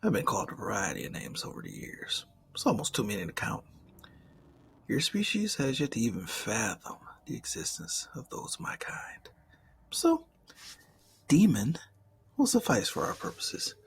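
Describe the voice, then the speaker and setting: A man voicing a suave, mocking, predatory demon in an ASMR roleplay, speaking formally and eloquently and often dropping into a breathy whisper. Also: mid-range voice, wildly swooping pitch, normal speed. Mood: teasing, businesslike.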